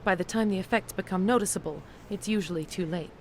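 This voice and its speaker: A woman's voice: medium pitch, fast talker, slight background noise, natural voice. An actress playing a frightened, pleading neurospecialist in a video game, earnest and anxious as she explains herself. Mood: uneasy, explaining. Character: frightened, pleading female neurospecialist working for a villain. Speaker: scientist character. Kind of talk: dramatic video game acting.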